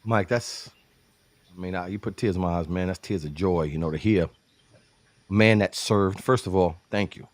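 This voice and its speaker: A man with a lowish voice who grew up in New York hosts a casual interview show. He laughs easily and leans into slangy, warm-hearted banter with his guest. The voice sounds dry and close to the mic. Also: moderate pace, dry recording. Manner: hypes guest, laughs often, calls him brother. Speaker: show host.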